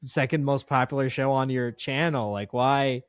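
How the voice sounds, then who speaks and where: A man's voice: medium pitch, fairly even intonation, moderate pace, smooth, no room sound. A man hosting an interview in casual chat, talking like an exasperated fan. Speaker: interview host.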